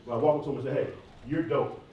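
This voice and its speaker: Male American, candid and informal Q&A speaker, rapid delivery, very reverberant room with distant mic. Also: medium pitch, steady tone, muffled recording. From southeastern United States, near Atlanta. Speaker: hip hop artist and former college athlete answering questions. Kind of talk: casual audience question-and-answer talk. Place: large echoey indoor room at a live event. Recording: echoey hall, distant mic, faint noise.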